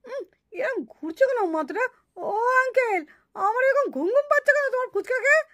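An innocent little doll child for a puppet show. The voice is extremely high and small, and the delivery is plaintive and whining. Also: female voice, animated, a little fast, pushed and bright, heavily acted. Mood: woozy, whining. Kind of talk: puppet cartoon acting.

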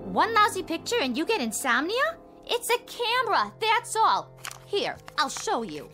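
A radio drama crook's wife with a very high, wildly swinging voice. She is brassy, slangy and sharp-tongued, quick to needle or panic. Faint music plays underneath. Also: huge pitch swings, full character voice. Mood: scornful, needling.

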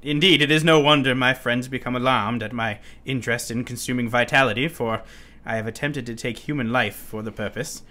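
An actor playing an unsettled, pleading asylum inmate in a radio play, speaking formally and urgently. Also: male voice, mid-range voice, fairly quick, bright, forceful tone, lightly acted. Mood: brooding. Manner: formal, urgent, given to sudden chuckles.

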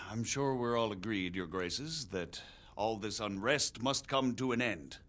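A man's voice: on the low side, takes it slowly, a bit bright. An actor playing a middle-aged nobleman who earnestly explains royal politics in formal game dialogue. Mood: grave. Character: grave middle-aged male nobleman, measured and persuasive. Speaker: visiting margrave explaining politics. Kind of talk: video game cutscene dialogue.

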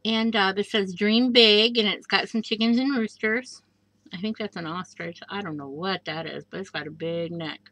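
A woman casually showing a handmade journal page by page, chatty and gushing, with soft asides and plenty of fillers. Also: medium pitch, steady tone, normal speed, no room sound. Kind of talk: casual show-and-tell craft walkthrough. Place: indoors at a craft table. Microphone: overhead camera above a craft table.

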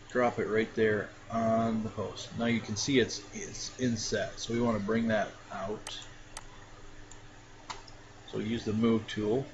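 A man casually talking through a software tutorial step by step, with frequent fillers. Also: lowish voice. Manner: relaxed, talks through each step with fillers. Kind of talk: casual screen-recorded tutorial. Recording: dull lo-fi mic with clear background noise.

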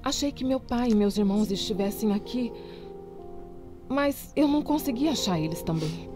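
A woman's voice: a little high, lively intonation, normal speed, over music, close to an everyday voice. A woman with a Brazilian accent playing a timid, lost young woman in a dubbed game, hesitant and apologetic. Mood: sad, hesitant.

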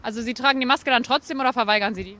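A woman's voice: on the high side, very fast, pushed and bright. A young woman conducting street survey interviews with a handheld microphone outdoors. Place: busy city street outdoors. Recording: outdoor street with traffic noise. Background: traffic and city ambience.

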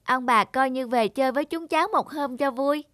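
A woman's voice: high-pitched, a little fast, fairly bright tone, no echo, studio quality. An actress playing a kind, caring young girl in a cartoon, speaking politely and earnestly. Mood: concerned, kind. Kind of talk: animated moral tale voice acting.

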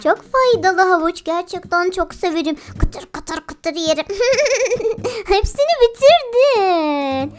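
A woman's voice: extremely high pitch, lively intonation, slow pace, a little hiss or hum. A woman doing playful game commentary for children, cooing and exclaiming as she talks to animals and young viewers, often giggly. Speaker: children's game video host. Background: background music.

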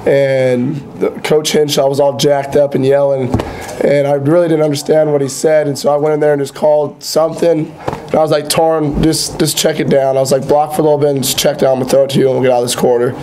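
A man's voice: on the high side, flat delivery, quick pace, dark tone, slight background noise, low-quality recording. A young man answering reporters at a postgame press conference as a college quarterback. He talks in a laid-back, blunt, self-critical way and is losing his voice. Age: young adult.

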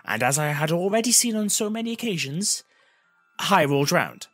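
A grave time-travelling seer, acted by a man in a fantasy audiobook. He speaks in formal, solemn phrases with a husky edge, lifting and pressing his words as he warns of doom. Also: sits high, expressive, normal speed, a bit bright, soft background music, pitched above the performer's own voice. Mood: uneasy.

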